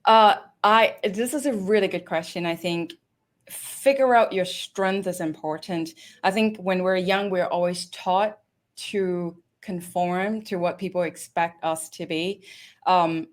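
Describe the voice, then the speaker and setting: A woman's voice: on the low side, a little slow. A woman from Hong Kong with a Hong Kong English accent, answering interview questions in a thoughtful, earnest way with some restarts. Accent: Hong Kong English with London influence. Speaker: guest, industry director.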